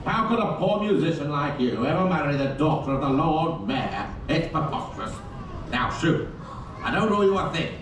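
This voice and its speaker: A man's voice: a little high, animated, moderate pace, close to an everyday voice. A man playing a pompous, greedy Lord Mayor in a children's puppet show, with blustering theatrical delivery. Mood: dismissive. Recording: echoey noisy theatre from far off.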